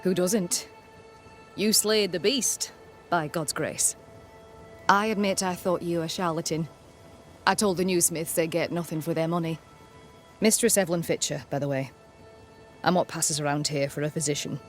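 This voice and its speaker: Actress as flustered, low-voiced colonial physician. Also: female voice, steady tone, moderate pace, pauses often, faint music behind, close to an everyday voice. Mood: embarrassed, candid. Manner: flustered, candid, formal archaic phrasing. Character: jittery, apologetic colonial village woman physician. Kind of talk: dramatic game dialogue.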